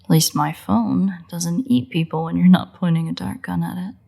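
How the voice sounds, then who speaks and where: A woman playing a sardonic, predatory vampire in an intimate roleplay audio drama, with a husky, breathy voice and a playful, drawling delivery. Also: on the low side, fairly even intonation, moderate pace, dark tone, exaggerated voice. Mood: incredulous, sarcastic. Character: teasing, unrepentant female vampire, sardonic and predatory.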